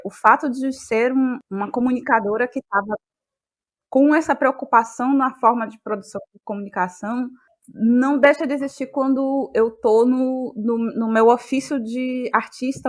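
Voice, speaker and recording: A Brazilian woman with a Bahian accent answers as an interview guest, talking reflectively and searching for words, repeating phrases for emphasis. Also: fairly high pitch. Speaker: guest, playwright and actress.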